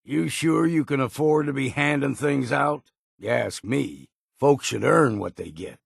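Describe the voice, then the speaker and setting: An actor playing a gruff, weary old hunter in a video game, grumbling and wary in a folksy, plainspoken rustic drawl. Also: male voice, medium pitch, measured pace, no echo, studio quality, heavily acted. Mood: stern, disapproving. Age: older. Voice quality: gravelly, husky. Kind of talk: video game character voice lines.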